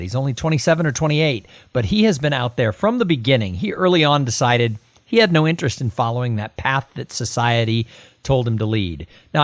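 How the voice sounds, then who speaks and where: A man's voice: medium pitch, no room sound. A middle-aged man hosting a podcast interview, chatty and enthusiastic, also reading a sponsor message. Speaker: podcast host.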